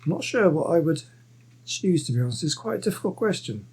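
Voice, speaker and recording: A man's voice: fairly high pitch, big pitch swings, a little fast, darkish tone, slight background noise. A man commenting casually over a game, musing aloud and hesitating, often restarting his sentences. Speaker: gameplay host. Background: game music.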